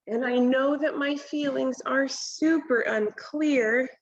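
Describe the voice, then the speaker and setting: A woman in her thirties reads a children's picture book at a slow, deliberate pace. Her voice sits a bit high, and she speaks like an instructor guiding young listeners. The sound is a little muffled, as if from a device microphone in a home kitchen. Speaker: read-aloud presenter for children. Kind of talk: children's picture book read-aloud with commentary.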